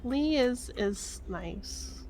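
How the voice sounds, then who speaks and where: A woman's voice: a little high, lively intonation, drawn-out words, darkish tone, faint music behind. A woman playing a chatty, cheerfully violent criminal in improvised game roleplay, rambling and repeating herself. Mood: chatty, blithe. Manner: rambling, repeats yeah and you know.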